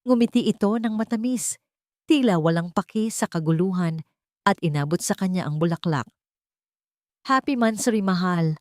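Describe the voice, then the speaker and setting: A woman's voice: on the low side, brisk, darkish tone, dry recording, studio quality. A woman narrating a scripted romantic story in an emotive, dramatic way. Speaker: narrator of romantic audio stories.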